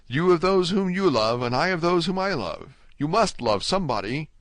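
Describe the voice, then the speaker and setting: A desperate young prisoner begs with fervent urgency, as a man reads him aloud. His pleas rise a little higher than the narration around him, in a dry, close voice. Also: sing-song, moderate pace, a bit bright, dry recording. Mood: pleading. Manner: fervent, imploring. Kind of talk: audiobook reading.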